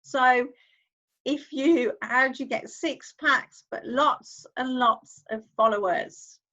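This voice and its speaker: A woman's voice: high voice, slow, pushed and bright, dull audio. A woman, hosting a casual screen-share tutorial, chatting as she clicks through steps and reads on-screen text aloud. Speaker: online-income YouTuber host.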